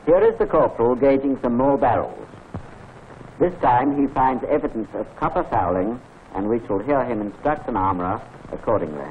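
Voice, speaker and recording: A man's voice: medium pitch, animated. Formal British man narrating a 1940s training film. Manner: measured, formal, instructive. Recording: tinny 1940s film soundtrack with faint hiss.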